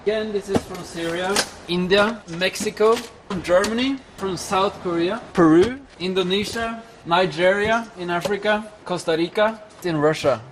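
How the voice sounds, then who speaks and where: High-voiced man listing items. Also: normal speed, few pauses. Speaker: interviewee. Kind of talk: casual explanation.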